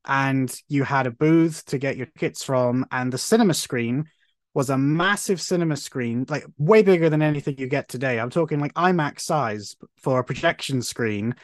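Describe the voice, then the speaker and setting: A man's voice: medium pitch, normal speed, no echo, studio quality. A man, telling stories eagerly as a podcast guest. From Cornwall, England. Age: 30s. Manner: animated storytelling, frequent restarts. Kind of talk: casual conversation.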